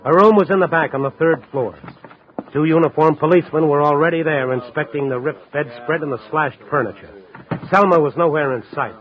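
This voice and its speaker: An actor playing a world-weary, wry newspaper reporter, narrating and acting in a 1950s radio drama. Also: male voice, a little high, a little fast, a little hiss or hum, close to an everyday voice. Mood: grim. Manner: hard-boiled, wry. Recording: muffled vintage radio recording with music.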